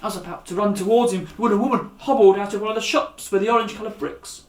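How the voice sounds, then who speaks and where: Male storyteller for young audiences, animated and direct, recorded with noticeable room echo. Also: high-pitched, fast talker. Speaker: author and storyteller. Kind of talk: story reading aloud for young listeners. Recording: echoey room.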